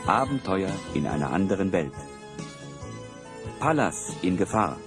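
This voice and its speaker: Deep, dramatic male storyteller. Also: very animated, a little slow. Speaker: narrator. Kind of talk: scripted storytelling for children's radio play. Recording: music behind the voice. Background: music.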